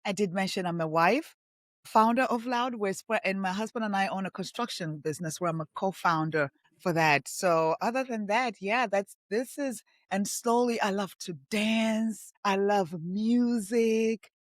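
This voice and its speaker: A woman's voice: mid-range voice, moderate pace, no room sound. Role: woman guest, reflective. Origin: Tanzania and Zambia. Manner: earnest, heartfelt. Room: dry. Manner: earnest, heartfelt, repeats herself for emphasis. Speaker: podcast guest. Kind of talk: reflective interview talk.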